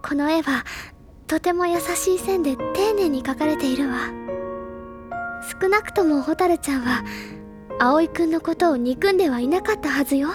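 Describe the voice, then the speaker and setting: An actress playing a gentle, earnest older schoolgirl who coaxes carefully in a Japanese audio drama. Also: high voice, normal speed, natural voice. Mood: gentle, coaxing. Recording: loud background music under the voice.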